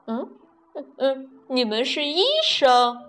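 A wide-eyed little frog boy from a children's storybook, high-pitched and slow, stretching his words in dreamy wonder, as a woman puts him on. Faint music plays softly behind him. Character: small cheerful male frog, dreamy and curious.

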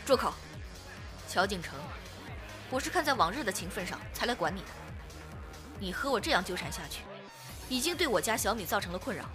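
Woman playing a steady, protective young woman, mid-pitched. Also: a little fast, long pauses, music in the background, natural voice. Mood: stern. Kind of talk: modern Chinese audio drama acting.

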